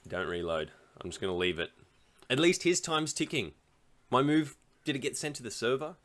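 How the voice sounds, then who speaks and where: A man's voice: medium pitch, animated, normal speed, a bit bright. An Australian man talking casually while playing online chess, rambling and thinking aloud, often laughing. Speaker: chess streamer.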